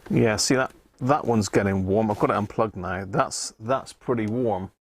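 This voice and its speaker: Low-voiced man, chatty at the workbench. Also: normal speed, dry recording. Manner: chatty, thinking aloud while working. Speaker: host of an electronics repair livestream. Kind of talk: casual live workbench commentary. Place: indoors at an electronics workbench.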